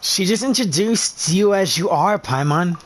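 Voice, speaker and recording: High-voiced, slow-talking man. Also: expressive, noisy background. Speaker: gaming video creator. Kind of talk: game playthrough commentary, reading dialogue aloud.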